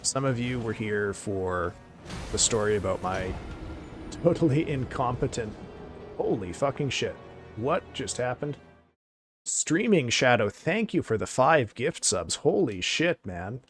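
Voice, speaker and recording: A man chatting casually on a live stream, excitable and thanking viewers, his voice getting hoarse. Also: medium pitch, unhurried, faint music behind. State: losing his voice.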